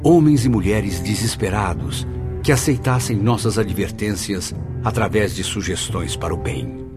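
A man's voice: lowish voice, lively intonation, normal speed, over music, lightly acted. An actor playing a solemn, compassionate male spirit recounting events in a radio drama.

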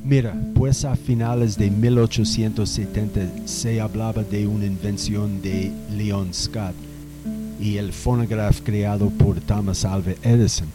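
A man playing a refined, musical old gentleman from the 1800s in a radio drama, speaking in a slow, reflective storytelling way. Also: lowish voice, fairly even intonation, natural voice. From Colombia. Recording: music bed under the voice.

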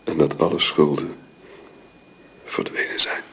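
An actor in a Dutch radio drama playing a gentle husband and father, speaking tenderly and haltingly in a hushed way. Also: extremely low pitch, level tone, breathy edge, exaggerated voice. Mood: grieving, exhausted. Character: grieving gentle husband and father at his wife's deathbed.

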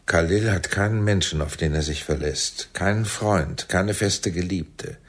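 An actor playing a paternal, persuasive intelligence chief in a radio play, theatrical, with a deep husky voice. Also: male voice, measured pace. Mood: grave, persuasive.

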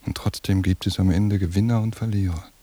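A weary, suspicious surveillance cop broods in an inner monologue for radio drama. His voice is low, dark and husky, with words kept hushed and inward. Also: fairly even intonation, fairly quick. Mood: exhausted, bitter. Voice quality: gravelly, husky. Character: weary, suspicious male police surveillance officer, brooding in thought.

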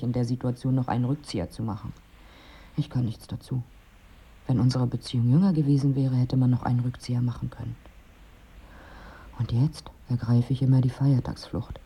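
Fed-up wife in a german radio play, really low husky voice, mellow, dry complaining. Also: female voice, heavily acted. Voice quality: husky, breathy. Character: exasperated wife fleeing Christmas with her ever-sleeping husband. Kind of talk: radio drama acting.

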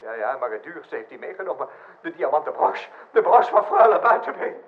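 An actor playing a nervous, fretful notary in a comic radio play, stammering and repeating himself excitably. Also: male voice, a little high, a little fast. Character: nervous, fretful male notary, easily alarmed. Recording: muffled, hissy old radio recording.

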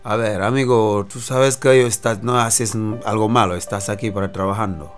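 A man's voice: on the low side, steady tone, moderate pace, soft background music, natural voice. A man playing a street vendor. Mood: pleading. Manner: broken Spanish, pleading, earnest. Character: male street vendor selling pirated films, non-native. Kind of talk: radio drama acting.

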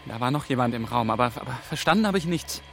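An actor playing a nervous young intern on the run, tense and doubting. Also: male voice, mid-range voice, expressive, fast, gravelly, a bit bright, a little hiss or hum. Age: young adult.

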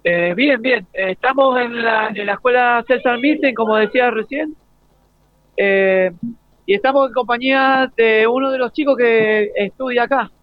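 A man with an Argentine accent co-hosts live radio, chatting casually and haltingly. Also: very high voice, no echo. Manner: halting, rephrasing. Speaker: radio co-host. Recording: dull lo-fi radio broadcast link.

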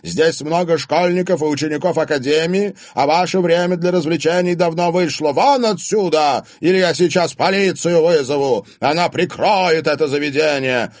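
A man reading an audiobook, voicing a domineering, furious rich old father who shouts threats with menace and contempt. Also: mid-range voice, big pitch swings, slow pace, hardly any pauses, no echo, studio quality, voice pushed up. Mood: loud, commanding. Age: older. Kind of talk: dramatised audiobook character voice.

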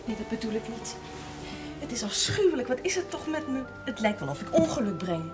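A sincere young village woman burdened by self-blame. Her mid-pitched voice is earnest and confiding, with clear music behind it. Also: sing-song, fairly quick. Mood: distressed. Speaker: actress in a television drama.

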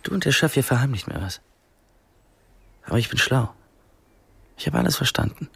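An actor playing a pushy, insolent teenage brother in a radio drama, belligerent and sarcastic. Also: male voice, mid-range voice, animated, normal speed, gravelly, fairly bright tone, breathy edge, big-room sound, full character voice. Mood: brash, demanding.

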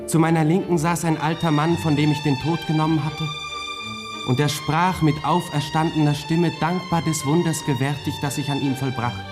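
Male actor for young lieutenant, radio drama: lighter-pitched, conflicted, hesitant, earnest. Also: steady tone, normal speed, over music, lightly acted. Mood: solemn, moved. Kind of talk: German radio drama acting, part first-person narration.

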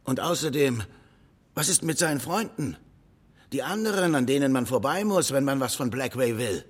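A man's voice: medium pitch, lively intonation, normal speed, husky. An actor playing a cocky, evasive small-town tough guy in a radio drama, with a wry manner. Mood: incredulous.

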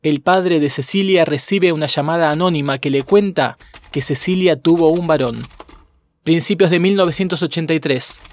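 Man narrating a documentary, higher-pitched voice. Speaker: podcast narrator.

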